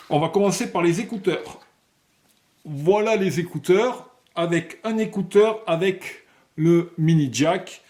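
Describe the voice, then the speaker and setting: Higher-pitched man presenting an unboxing. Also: darkish tone. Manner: demonstrative, step-by-step, with halting searches for words. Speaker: product presenter for a motorcycle accessories shop. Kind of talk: unboxing commentary with technical explanations.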